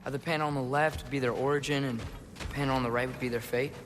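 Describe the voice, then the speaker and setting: A man's voice: medium pitch, fairly even intonation, fast talker, noisy background, natural voice. A man playing an earnest, headstrong teenage boy, a warrior's son in a video game, speaking with youthful urgency. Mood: eager. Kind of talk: dramatic video game acting.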